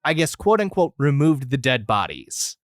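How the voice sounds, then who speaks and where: A man's voice: mid-range voice, very animated, normal speed, dry recording, studio quality. A man co-hosting a comedy podcast, riffing playfully in casual conversation. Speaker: podcast co-host.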